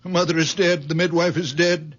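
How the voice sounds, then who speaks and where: A man's voice: a little high, level tone, fairly quick, natural voice. An actor playing a very old, stubborn father who speaks tersely and insistently in a radio drama. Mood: stubborn, indignant. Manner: terse, insistent, repeats himself for emphasis. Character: very old father, stubborn, clinging to his dead infant. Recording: dull lo-fi old radio recording.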